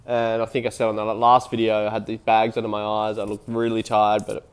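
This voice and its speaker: A man's voice: on the low side, monotone, a little fast, clean tone. Nasal man with a head cold. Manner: rambling, with frequent restarts and fillers. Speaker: online business vlogger. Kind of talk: casual motivational talk to camera.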